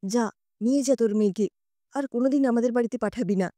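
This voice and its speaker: A woman's voice: a little high, fast, mellow, dry recording, pitched below the performer's own voice, natural voice. A woman voicing an older neighbourhood aunt in an audio story, stern and righteous, with a scolding, aggrieved, emphatic delivery. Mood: angry.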